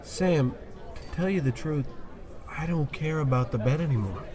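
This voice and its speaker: A man's voice: on the high side, lively intonation, moderate pace, crowd noise, lightly acted. A man playing a hapless, hard-drinking working stiff who gripes in slang in a comic old-time radio play. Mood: lovesick.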